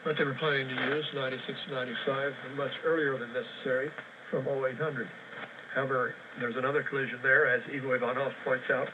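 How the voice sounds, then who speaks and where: A man hosting a shortwave radio news show, reading listener reports and frequency lists in a brisk, matter-of-fact way with dry sarcasm. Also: mid-range voice, little pitch movement, narrow, tinny audio. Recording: distant, faintly noisy shortwave radio relay.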